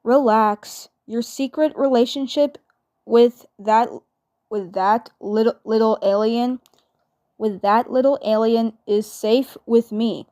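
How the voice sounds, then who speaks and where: A woman voicing a teasing, laid-back teenage brother in a fan dub, halting and repeating phrases. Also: a little high, warm tone. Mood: reassuring, teasing.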